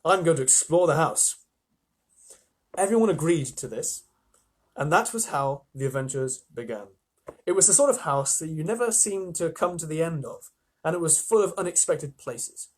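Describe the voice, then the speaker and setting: A man reads a children's story aloud in an expressive, informal way. His voice sits fairly high for a man and has a husky grain. He slips into casual asides to his listeners. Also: moderate pace. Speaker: storyteller reading a children's novel on a livestream.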